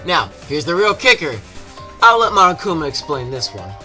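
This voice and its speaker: A man's voice: fairly high pitch, very animated, moderate pace, sharp tone. A man narrating a comedic scripted video game review with a sarcastic, exaggerated delivery. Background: music behind the voice.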